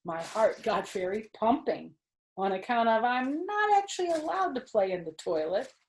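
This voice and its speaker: A woman voicing an excitable, mischievous little girl who tells her story in dramatic childlike speech from a storybook. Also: on the high side, normal speed. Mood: alarmed. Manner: dramatic, childlike grammar, blunt and eager. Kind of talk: expressive children's storybook reading.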